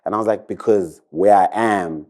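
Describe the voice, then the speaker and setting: Deep-voiced man chatting. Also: moderate pace, a little mellow. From South Africa. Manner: rambling, reflective, heavy on fillers. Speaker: recording artist. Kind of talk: casual chat.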